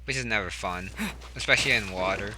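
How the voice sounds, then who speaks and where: A man's voice: lowish voice, fast, background noise. A man giving casual gameplay commentary in short, offhand asides. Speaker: gamer, video host. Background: game music.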